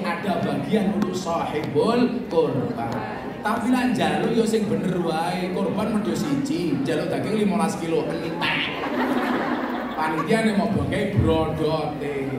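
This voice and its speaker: A man with a Javanese accent preaching at a question-and-answer gathering, joking and telling stories as he answers. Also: a little high. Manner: joking storyteller, mixes in regional language. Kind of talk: humorous sermon Q&A. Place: large echoey venue. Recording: echoey hall, distant, loud crowd. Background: loud crowd and noise.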